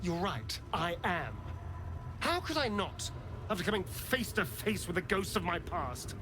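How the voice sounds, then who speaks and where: An English actor playing a proud, wounded, sardonic vampire spawn in a video game, theatrical and drawling. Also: male voice, sits high, very animated, unhurried, over music. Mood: weary, conflicted. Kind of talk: dramatic video game acting.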